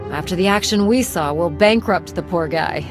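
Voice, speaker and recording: A woman's voice: on the low side, moderate pace, over music, lightly acted. Woman playing self-assured, direct commander. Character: confident, guarded female alliance commander. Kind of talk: video game cutscene acting.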